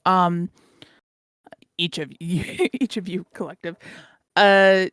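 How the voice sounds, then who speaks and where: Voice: medium pitch, smooth, no echo. A young adult moderating a casual panel, asking rambling questions and correcting themselves as they go.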